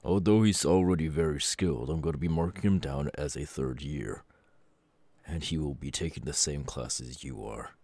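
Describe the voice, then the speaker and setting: A man playing a stern, gruff middle-aged superhero mentor and father in an ASMR audio roleplay, with a gravelly, husky voice and a measured, grave manner. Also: a bass voice, a little mellow, no room sound. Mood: serious, wary. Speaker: voice actor.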